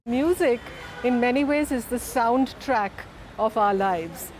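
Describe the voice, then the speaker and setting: A middle-aged woman speaking in a measured, reflective way in a documentary interview. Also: on the high side, expressive, faint music behind. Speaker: founder of the orchestra foundation.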